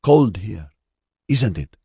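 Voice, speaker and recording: A man voicing a gruff, cheery, weathered woman in her sixties with a northern Mediterranean accent in an audiobook. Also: mid-range voice, sing-song, normal speed, darkish tone, dry recording, studio quality, pitched above the performer's own voice. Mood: hearty, welcoming.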